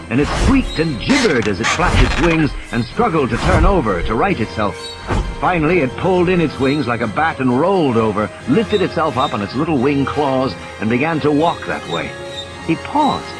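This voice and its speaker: A man's voice: on the high side, big pitch swings, normal speed, low-quality recording. A man giving a dramatic scripted narration of a story as an audiobook narrator. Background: music and sound effects.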